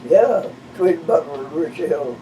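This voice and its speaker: A man over one hundred years old, originally from western Missouri, talking with a visitor in a slow, halting way and searching for names. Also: on the high side, lively intonation, mellow. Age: 100s. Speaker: interviewee, retired minister. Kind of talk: casual conversation. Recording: distant mic with background noise.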